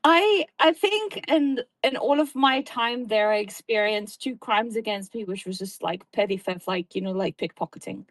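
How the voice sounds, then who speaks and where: A woman's voice: medium pitch, lively intonation, normal speed, few pauses, no room sound. A woman with a South African accent in casual chat, earnestly explaining things at length. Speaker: guest.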